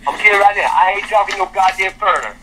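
A man's voice: high voice, racing, sharp tone. An older American man ranting combatively and profanely on a phone call, heard over a noisy speakerphone. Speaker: prank call target. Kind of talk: angry phone rant. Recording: noisy tinny speakerphone playback.

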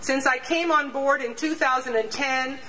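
An older woman reading a prepared statement aloud to a rally on an outdoor lawn, measured and deliberate, sometimes stumbling and restarting over numbers. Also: high-pitched, pushed and bright. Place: outdoor campus lawn before a large crowd. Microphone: standing mic on stand, picked up from a distance. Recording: distant outdoor pickup with crowd noise.

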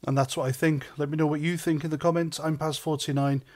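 North Welsh vlogger, middle-aged, slightly high voice, indignant and sweary. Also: male voice, flat delivery, quick pace, darkish tone. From North Wales. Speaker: vlogger, ex-soldier. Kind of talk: opinion rant to camera.

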